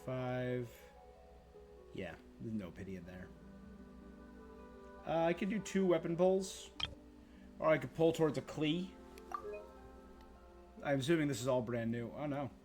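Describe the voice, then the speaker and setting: A man's voice: medium pitch, lively intonation, unhurried, pauses often, a little mellow, faint music behind. A man doing casual live gaming commentary as a streamer, thinking aloud in short bursts. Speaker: game streamer.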